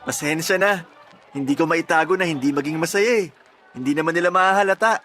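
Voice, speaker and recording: A man's voice: fairly high pitch, brisk, bright, forceful tone, soft background music. An actor playing a giddy, bashful young suitor in a radio drama, flustered and eager in his delivery. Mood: happy. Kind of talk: Tagalog radio drama acting.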